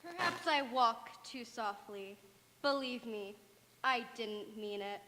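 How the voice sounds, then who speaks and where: A woman's voice: on the high side, expressive, takes it slowly, bright, forceful tone, heavily acted. A woman playing a bitter, melodramatic young fiancee in a radio drama, with an emphatic theatrical delivery. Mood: icy hurt. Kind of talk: stage radio drama acting. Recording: echoey hall, clearly noisy.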